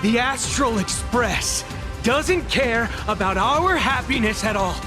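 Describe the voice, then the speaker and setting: Young gang leader, bitter, theatrical, scornful, slow and swooping delivery, video game. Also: male voice, extremely high pitch, lively intonation, heavily acted. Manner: dramatic, scornful, declamatory. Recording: game music behind the voice.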